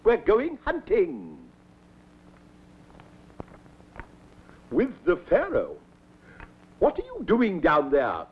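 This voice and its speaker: An actor voicing a pompous, hot-tempered Egyptian lord who flies into shrieking tantrums, with a husky voice. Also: male voice, high-pitched, huge pitch swings, takes it slowly, full character voice. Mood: coaxing. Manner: swings from languid ease into shrieking tantrums. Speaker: master of the house. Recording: dull lo-fi film soundtrack.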